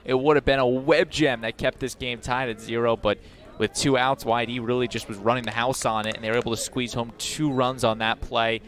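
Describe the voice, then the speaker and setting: A man's voice: medium pitch, fairly even intonation, brisk, a bit bright. A man giving baseball analysis as a color commentator on a game broadcast.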